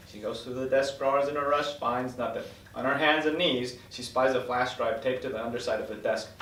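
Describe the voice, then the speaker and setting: A man's voice: mid-range voice, pushed and bright. Man reading stage directions in an echoey room. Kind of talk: scripted narration at a table read. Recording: echoey room from far off, noisy.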